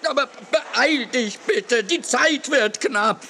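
An actor playing a flustered, high-strung TV director who stammers and repeats words in a children's radio play. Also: male voice, slow pace, vocal fry, pushed and bright, exaggerated voice. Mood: pleading, urgent. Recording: noisy old cassette radio play.

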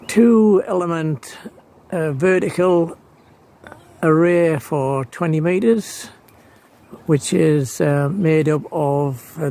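Slow-talking man with a creaky voice. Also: a little high, darkish tone. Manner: halting, hesitant delivery. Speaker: amateur radio operator. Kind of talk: unscripted demonstration. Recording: clearly noisy handheld recording.

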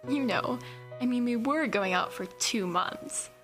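A bubbly teenage girl in a horror radio play. Her voice is girlish and fairly high, with casual, prattling delivery over clear music. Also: lively intonation, unhurried, fairly bright tone. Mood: bubbly, chatty. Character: bubbly, chatty, boy-crazy teenage girl. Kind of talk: radio horror drama acting.

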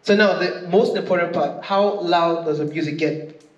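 A man's voice: a little high, moderate pace, dull audio. A man casually hosting an unscripted unboxing video, chatting informally and thinking aloud as he reviews a product. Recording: echoey room with clear background noise.

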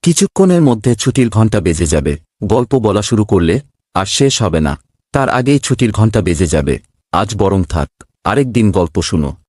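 Male schoolteacher, actor for kids' animated fable: patient, kindly, lowish pitch, close dry sound. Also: moderate pace, no room sound. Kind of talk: children's cartoon storytelling.

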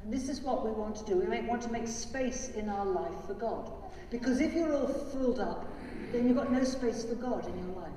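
Older priest in an echoey church. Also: male voice, extremely high pitch, lively intonation, moderate pace, far from the mic, slight background noise. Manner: gentle, speaking simply to children. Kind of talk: liturgical prayer and children's address. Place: large church. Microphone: lectern mic, far from camera.

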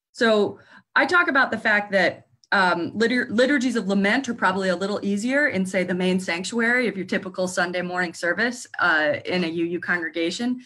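A woman's voice: fairly low pitch, fairly bright tone. Earnest woman minister discussing faith. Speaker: minister and author. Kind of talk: panel discussion.

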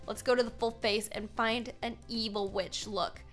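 A young American woman with a high, bright voice hosts a gaming video. She talks casually and excitably in an animated, playfully dramatic way. She is close to the mic in a home room, with music underneath. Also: moderate pace. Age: 20s. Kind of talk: excitable gameplay commentary with casual chat to viewers. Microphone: desk microphone, close.

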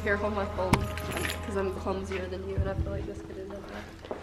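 A woman's voice: medium pitch, completely flat delivery, normal speed. A young woman in casual chat as a companion on a vlog, picked up by a handheld camera. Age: young adult. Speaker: vlogger's friend and companion. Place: handheld camera in an abandoned school, later outdoors. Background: music.

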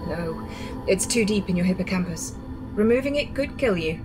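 A woman's voice: mid-range voice, animated, normal speed, natural voice. Woman playing a blunt rebel medic over music. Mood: blunt, sober. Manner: matter-of-fact, blunt, explanatory. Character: blunt, composed female resistance medic. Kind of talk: radio drama acting.

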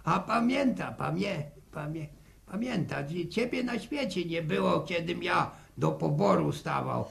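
A man's voice: high voice, expressive, measured pace, heavily acted. An actor playing a chatty, wily old grandfather in a 1960s radio drama, with a rambling, folksy manner. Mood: indignant. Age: older. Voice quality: creaky old voice, chuckling. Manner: rambling, repeats words, folksy.

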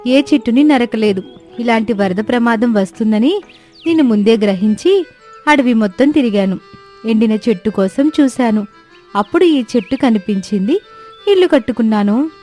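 A woman's voice: fairly high pitch, warm tone, lightly acted. A woman voicing a clever, proud, earnest young sparrow in a children's cartoon story, speaking with self-assurance. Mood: defensive, earnest.

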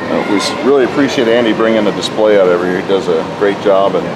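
A man's voice: on the low side, fast talker. Chatty man filming at a noisy toy show. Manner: relaxed, chatty, lots of fillers and compliments. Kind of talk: casual walk-around interview. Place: indoor farm toy show hall. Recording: loud background noise in a show hall.

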